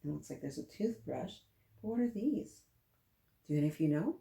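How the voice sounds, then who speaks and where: A woman's voice: deep voice, expressive, moderate pace, vocal fry, mellow. A woman hosting a story time for young children, reading aloud and chatting to them with encouraging, simple questions. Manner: encouraging, addresses listeners directly with simple questions. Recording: roomy, echoing room sound.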